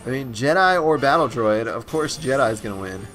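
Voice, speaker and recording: Man, let's play host, raspy stuffed-up voice, excitable, faint game music behind. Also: mid-range voice, normal speed. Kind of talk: gameplay commentary.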